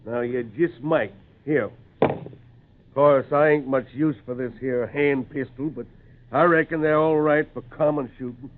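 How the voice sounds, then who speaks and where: An actor playing a humble, plain-spoken hill-country landholder with a rustic backwoods way of talking, in 1940s radio drama style. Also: male voice, medium pitch, steady tone, unhurried, narrow, tinny audio, slight background noise, natural voice. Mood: folksy, earnest. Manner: folksy, rustic backwoods dialect. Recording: thin, band-limited 1940s radio recording.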